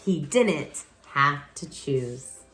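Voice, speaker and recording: Animated woman reading a children's story. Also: low voice, slight background noise. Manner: animated, dramatic pauses, chatty asides to young listeners. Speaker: children's picture book reader. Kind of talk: expressive read-aloud storytelling with casual asides.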